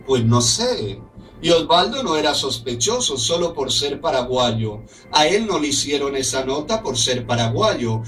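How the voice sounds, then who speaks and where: A man's voice: on the high side, a bit bright, far from the mic. A middle-aged man from Colombia hosting a radio talk show, reading a letter aloud in an earnest, indignant tone from a small office studio. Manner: earnest, indignant, speaks as a compatriot. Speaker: radio host.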